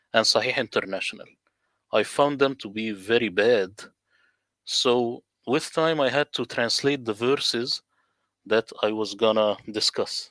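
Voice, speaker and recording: A man from the Middle East who speaks Arabic natively, talking as a guest over a video call in a deliberate, emphatic, lecturing way. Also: on the low side, fairly bright tone, dry recording. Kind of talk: casual livestream conversation.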